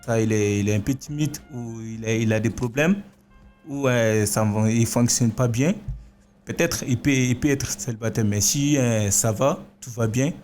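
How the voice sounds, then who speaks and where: A young man of mid pitch works through his opinion hesitantly, with frequent pauses. Faint instrumental music plays behind him. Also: a little mellow. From Guinea. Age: young adult. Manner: hesitant, many fillers. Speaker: vocational student. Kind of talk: classroom debate.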